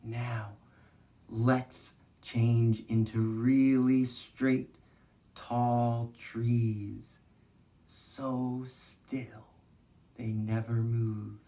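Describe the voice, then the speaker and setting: A man's voice: medium pitch, little pitch movement, very slow, warm tone. A man working as an educator, leading an interactive storytime for young children with simple guiding phrases and questions. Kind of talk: interactive children's read-along with movement prompts.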